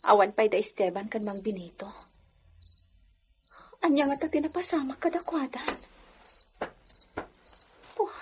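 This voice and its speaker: High-voiced plaintive young lady of the house, woman acting. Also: lightly acted. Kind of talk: audio drama acting. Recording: noisy track with clicks and insects.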